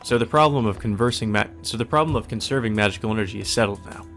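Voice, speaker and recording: A man's voice: fairly low pitch, fast, a bit bright. A man reading a visual novel aloud as a let's player, mixing plain narration with casual, wry asides. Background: music.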